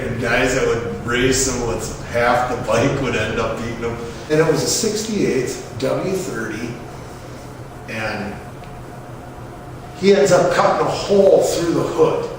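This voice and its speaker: A middle-aged American man casually telling an anecdote in salty, colloquial, mildly mocking language. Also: medium pitch. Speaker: storyteller. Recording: echoey, noisy, distant microphone.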